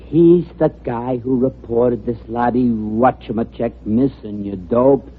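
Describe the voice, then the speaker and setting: An actor playing a slow-witted, exasperated tough in old radio comedy-drama, raspy and whiny with slangy talk. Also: male voice, medium pitch. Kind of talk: 1940s-50s radio comedy-drama acting. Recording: tinny old radio recording.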